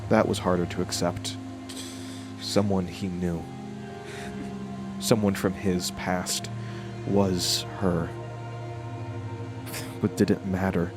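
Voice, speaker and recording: A man playing a brooding, haunted narrator in a horror audio drama, with a grave, halting and reflective delivery. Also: lowish voice.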